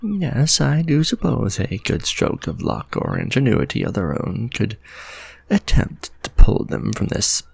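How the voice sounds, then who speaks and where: A refined, possessive vampire lord, played by a man, muses in formal speech while tenderly addressing the listener. His voice is deeply gravelly and husky, with pitch that swoops widely. This is an ASMR romantic roleplay. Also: huge pitch swings, unhurried, no echo, full character voice. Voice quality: gravelly, husky, soft-spoken.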